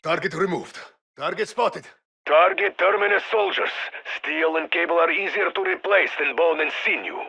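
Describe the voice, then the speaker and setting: An actor playing a stern, battle-hardened Russian military commander in a video game, giving terse, formal mission orders in a Russian accent through a radio filter, with a gravelly, husky voice. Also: male voice, fairly high pitch, moderate pace, sharp tone, full character voice. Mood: terse, low-key. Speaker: mission handler.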